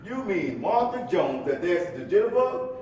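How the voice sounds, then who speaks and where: An earnest, restless young bachelor, acted by a man in a live stage reading. His pitch swings widely as he pleads theatrically, heard from a distance in a very echoey hall. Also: big pitch swings, measured pace, a little mellow, highs cut off. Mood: curious, eager. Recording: echoey hall from far off, faint rumble.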